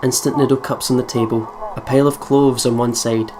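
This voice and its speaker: A man reads first-person narration from a visual novel, steady and mid-pitched. A loud noise bed runs under the whole recording. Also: fairly quick. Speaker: let's play host. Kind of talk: reading a visual novel aloud.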